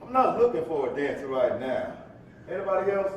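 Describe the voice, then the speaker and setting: Guy acting as restless young bachelor, lively highish voice, earnest, stage play, big echoey hall. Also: fairly quick. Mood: curious, eager. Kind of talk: live stage reading of a play. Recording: echoey hall from far off, faint rumble.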